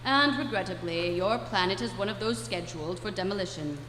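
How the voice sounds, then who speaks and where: A woman's voice: medium pitch, brisk, a bit bright, lightly acted. A woman in a live radio play playing an officious, impatient alien commander making pompous, exasperated announcements. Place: live performance in a hall. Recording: echoey hall, faint noise.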